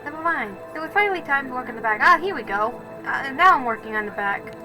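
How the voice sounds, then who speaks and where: A woman's voice: high-pitched, expressive, quick pace, rough recording. A woman doing casual voice-over narration for a craft video, rambling and correcting herself as she goes. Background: music.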